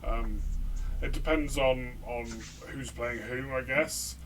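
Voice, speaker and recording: A man with a medium-pitched voice chats casually about a game. He is tentative and circles back over his words, sounding a little away from the mic with faint noise around him. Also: steady tone, takes it slowly, a bit bright, recorded from a distance, low-quality recording. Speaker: player and co-reviewer. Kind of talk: casual review chat.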